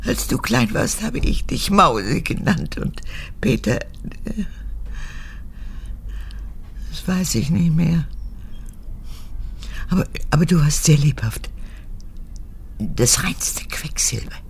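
An actress playing an imperious, cunning old mother in a radio drama, capricious and theatrical, moving between whispers and sharp words. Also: deep voice, expressive, takes it slowly, gravelly, long pauses, slightly rough, full character voice. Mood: reminiscing. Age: older. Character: imperious, cunning old mother, forgetful former Nazi fanatic. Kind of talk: German radio drama acting.

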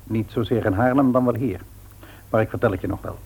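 An actor playing a grave older father, measured and formal, in a Dutch radio play. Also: lowish voice, creaky, darkish tone, slight background noise, poor audio. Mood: grave, restrained.

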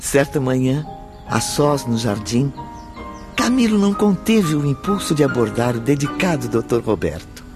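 A man's voice: medium pitch, sing-song, moderate pace, music in the background, lightly acted. A man reading dramatic scripted narration for a radio drama.

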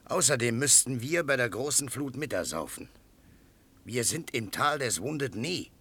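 An actor playing a wary, sardonic Lakota chief in a German radio play, with a husky voice and a dry, mocking manner. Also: male voice, mid-range voice, animated, a little slow, sharp tone, exaggerated voice.

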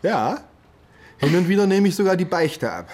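An actor playing a pushy, easily flustered police detective in a naturalistic German radio drama. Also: male voice, high-pitched, big pitch swings, moderate pace, a little mellow. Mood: smooth, courting.

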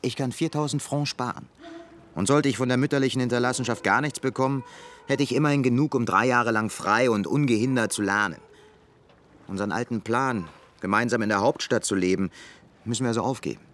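A man's voice: medium pitch, brisk, a bit bright, natural voice. An actor playing an ambitious, combative young man from a poor background in a radio play. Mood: determined.

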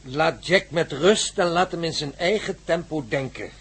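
An actor playing a sober, reproving male professor in a radio play, measured and schoolmasterly. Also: fairly high pitch, gravelly, a bit bright, slightly rough, exaggerated voice. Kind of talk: Dutch radio play acting.

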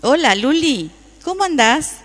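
A woman's voice: sits high, sing-song, measured pace, fairly bright tone, heavily acted. Reverberant, friendly Argentine woman played by an actress. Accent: Argentine Rioplatense. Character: friendly, appreciative woman customer chatting with a friend. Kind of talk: radio play acting. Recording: reverberant room with long echo.

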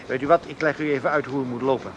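A man's voice: medium pitch, fairly even intonation, very fast, close to an everyday voice. Man acting a chatty, officious village railwayman. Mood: amused, helpful. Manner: folksy, self-important, talkative. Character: chatty, officious small-town railway employee. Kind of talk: radio play acting. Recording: clearly noisy outdoor station scene.

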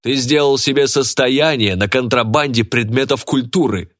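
A man's voice: mid-range voice, normal speed, fairly bright tone, no echo, clean studio sound, voice pushed up, lightly acted. Man acting a haughty, hot-tempered businessman. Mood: contemptuous. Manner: contemptuous, declamatory.

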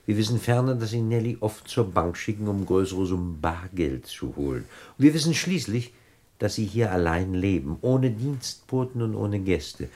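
Genteel crook, fairly deep voice, formal, old-school courtly, 1960s radio play acting. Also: male voice, expressive, normal speed, mellow. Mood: formal, pressing. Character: genteel, courteous gentleman crook with weak nerves.

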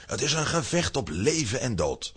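A man's voice: mid-range voice, big pitch swings, moderate pace, fairly bright tone, slightly rough. A man narrating a children's audio play in a scripted storytelling style.

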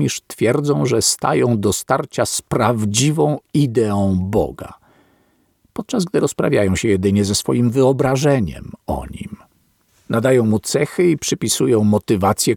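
A man narrating a philosophical text in a solemn, deliberate, sermon-like reading. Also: mid-range voice, creaky, darkish tone, breathy edge, dry recording, clean studio sound. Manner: slow, weighty and deliberate, like a sermon.

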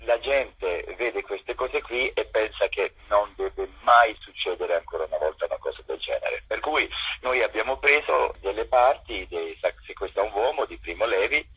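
Very raspy man with a foreign accent. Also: lowish voice, expressive, moderate pace. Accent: foreign accent in Italian. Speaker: actor, interview guest. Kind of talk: reflective interview talk. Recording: dull, lo-fi telephone interview.

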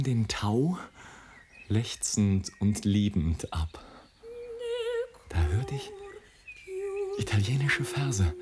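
An actor playing a romantic, ironic balloonist who narrates poetically and deliberately in a literary radio play. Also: male voice, on the low side. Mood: enraptured.

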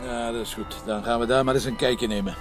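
An actor with a Flemish accent playing a wry, blunt police commissioner in a crime drama, sardonic and colloquial. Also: male voice, mid-range voice, fairly even intonation, fast talker, faint music behind, close to an everyday voice. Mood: brisk, curious.

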